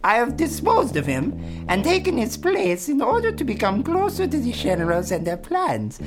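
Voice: medium pitch, huge pitch swings, moderate pace, over music, rough recording. A performer playing a pompous, scheming villain swami in a comic radio play, with theatrical, taunting delivery. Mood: gloating. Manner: theatrical, gloating, rhyming taunts.

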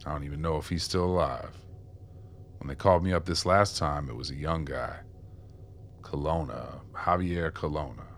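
An actor playing a weary, guilt-ridden older ex-informant in a radio drama, gruff and plainspoken. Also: male voice, a bass voice, normal speed, slight background noise. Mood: bitter, remorseful.

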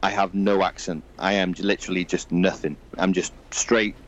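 A man's voice: low voice, fairly even intonation, normal speed, dull audio. A man from Wales hosting a podcast, chatting casually and enthusiastically with a guest. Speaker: podcast host. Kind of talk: casual chat.

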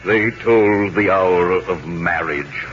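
A man's voice: deep voice, slow. A radio actor playing a haughty, indignant nobleman in a 1940s comedy, declaiming his lines. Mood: grave. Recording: muffled, noisy 1940s radio broadcast.

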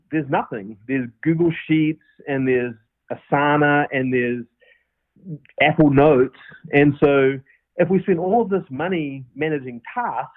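A man's voice: fairly high pitch, no echo. New Zealand man on a tinny line. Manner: earnest, many restarts and fillers. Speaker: podcast guest, company founder. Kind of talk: casual interview answers. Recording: tinny phone-line audio.

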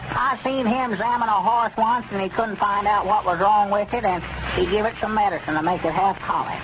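A cranky old country storekeeper from the rural South, with a high voice and a rambling, high-strung, blustering way of talking. The vintage radio comedy sound is dull and very noisy. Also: male voice, fairly even intonation, brisk. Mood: folksy. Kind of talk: 1930s radio comedy acting. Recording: muffled, very noisy 1930s radio recording.

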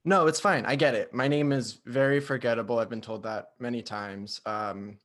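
A man's voice: medium pitch, normal speed, a bit bright, pitched below the performer's own voice, close to an everyday voice. A man playing a meek, eager, easily overlooked guy in improvised comedy, hesitant and placating.

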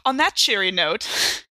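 A woman's voice: mid-range voice, bright, forceful tone, dry recording, rough recording. A woman talking casually as a player in an improvised tabletop role-playing game. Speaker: player in an actual-play podcast.

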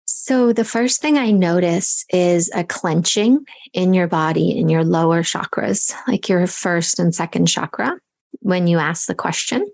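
Woman, raspy, gravelly, low-pitched, gentle, unhurried, intuitive reader, podcast host. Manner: gentle, unhurried, imagery-rich. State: raspy voice today. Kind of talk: guided intuitive reading, descriptive.

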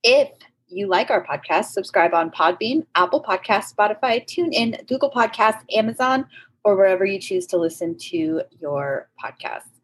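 A woman's voice: mid-range voice, lively intonation, moderate pace, a little hiss or hum. Give me a woman co-hosting a podcast, chatting casually with brief, agreeable answers. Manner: short, agreeable back-channel replies. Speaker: podcast co-host.

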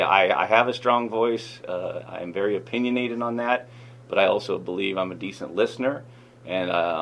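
A man, a football head coach and manager, answering in an interview clip with halting speech and frequent restarts. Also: deep voice, a little hiss or hum. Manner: halting, many fillers and restarts.

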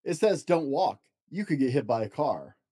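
A man's voice: on the high side, big pitch swings, normal speed, warm tone, husky. A man voicing a sassy, stubborn, clever little sister in a storybook, cocky and insistent. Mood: proud.

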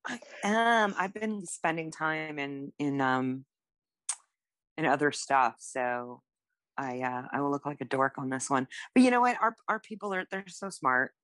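A woman banters casually on a podcast. She is playful and irreverent, quick to poke fun at herself. The sound is very dry, with little room to it. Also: deep voice, fairly bright tone, dry recording. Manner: playful, irreverent, self-deprecating. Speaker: podcast co-host.

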